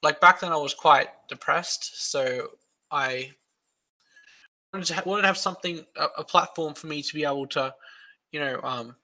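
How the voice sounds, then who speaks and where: A man's voice: on the high side, normal speed, pushed and bright, poor audio. An Australian man who is a guest on a podcast, talking casually and rambling through long explanations.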